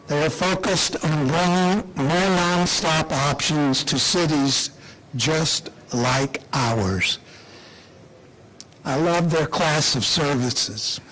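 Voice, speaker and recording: A man speaking as an official at a public announcement, deliberate and emphatic, repeating phrases with rhetorical pauses. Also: a little high, fairly bright tone, a little hiss or hum, rough recording. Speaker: airport official giving an announcement speech. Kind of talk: public speech at a press announcement.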